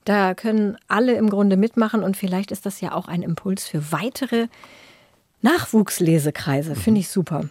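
A woman's voice: medium pitch, normal speed, a little mellow, husky, dry recording, studio quality. A woman chatting casually as a podcast co-host. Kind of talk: casual chat. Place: podcast studio.

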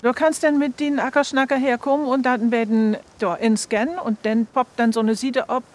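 A woman with a Northern German, Low German accent, explaining a project in an interview as one of its members. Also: steady tone, normal speed, a little mellow.

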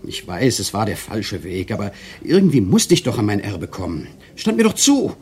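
A manipulative, silver-tongued male swindler in love, with a low voice. His delivery swings widely, theatrical and intimate, slipping into murmurs, in the manner of a radio crime play. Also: big pitch swings, normal speed, a little mellow, breathy edge, exaggerated voice. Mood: indignant, aggrieved. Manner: intimate, theatrical, often drops to murmurs and whispers. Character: smooth-talking male conman lover, self-pitying, manipulative. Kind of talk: German radio crime drama acting.

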